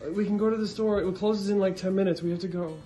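A woman's voice: mid-range voice, fairly even intonation, quick pace, pitched below the performer's own voice, close to an everyday voice. A woman playing a flustered adult who keeps scolding a child and trying to keep her in line. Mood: hurried. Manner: scolding, exasperated, repeats the child's name. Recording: music playing behind.

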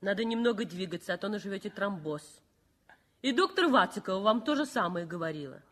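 An actress playing a kind but firm young nurse in a 1960s radio play. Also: female voice, medium pitch, lively intonation, brisk, a bit bright. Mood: coaxing.

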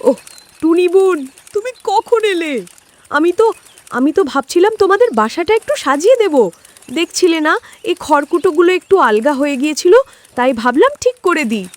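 A woman's voice: very high-pitched, animated, normal speed, no room sound, voice pushed up. A woman voicing a jealous, scheming, arrogant crow in a cartoon fable, sly and falsely friendly. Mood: falsely innocent. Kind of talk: cartoon character voice in a moral tale.

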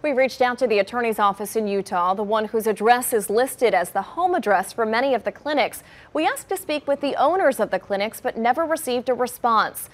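A woman's voice: fairly high pitch, lively intonation, a little fast. A woman reporter asking direct interview questions and closing a news report. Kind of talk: news interview and anchor close.

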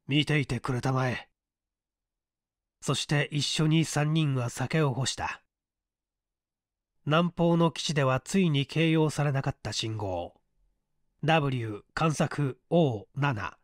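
A man's voice: medium pitch, no room sound, studio quality. A man narrating mystery fiction from a script as an audiobook reader, in a dramatic manner.

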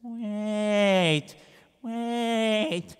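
A man voices patient scholars in a children's story, calmly questioning their visitors. The voice is slightly raised and slow. A long hall reverb surrounds it. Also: high voice, clear, resonant tone, voice pushed up. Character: group of patient male Torah scholars.

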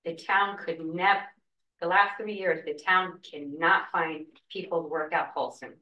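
A woman's voice: on the low side, steady tone, measured pace, recorded from a distance, lo-fi sound. A woman, a school cafeteria worker, speaking earnestly at public comment during a school board meeting from a wooden podium. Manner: earnest, emotional plea. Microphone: podium mic, heard from a far camera. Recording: echoey room, voice heard from afar.

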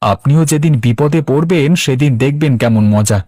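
A man playing a pious, long-suffering fisherman in a cartoon, measured and resigned. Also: mid-range voice, warm tone, no room sound, studio quality, natural voice. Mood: stern, dignified. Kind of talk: Bengali cartoon dub.

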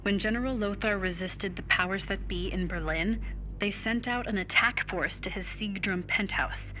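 A woman's voice: mid-range voice, normal speed, pushed and bright, soft background music, close to an everyday voice, low-quality recording. An actress playing an earnest woman briefing her resistance friends in a video game cutscene. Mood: serious, concerned. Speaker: resistance ally giving briefing.

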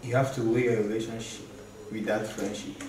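A young man, a guest explaining his view in a measured, thoughtful way. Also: fairly low pitch, level tone, darkish tone, slight background noise. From Nigeria. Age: young adult. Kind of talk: casual group discussion. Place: living room, seated on a couch. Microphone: far camera. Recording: roomy echo from a distant mic.

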